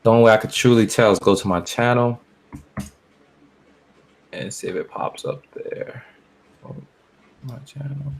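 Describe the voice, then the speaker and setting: A man's voice: mid-range voice, measured pace, vocal fry, pauses often. A man chatting casually and slangily as a podcast host setting up a livestream. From born in Barbados, moved to the United States. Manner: slangy, informal.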